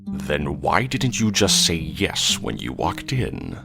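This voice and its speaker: Super deep gravelly robot warrior, slow and grim, audio drama, music behind. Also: male voice, sing-song, exaggerated voice. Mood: proud, curt. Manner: slow, menacing, deliberate. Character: grim, vengeful male robot warrior, cold and threatening.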